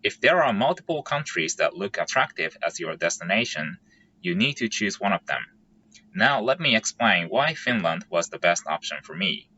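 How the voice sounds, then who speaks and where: Bright-toned man. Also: low-pitched, sing-song, dull audio, slight background noise. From Japan. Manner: careful, measured and methodical, lays out points in order. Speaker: vlogger. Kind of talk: scripted explainer monologue.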